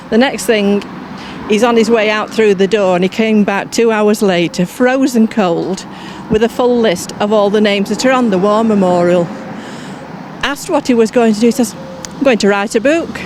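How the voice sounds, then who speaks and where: A woman's voice: medium pitch, normal speed, background noise. An older woman with an English accent telling her story conversationally in an interview. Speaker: interviewee, local researcher.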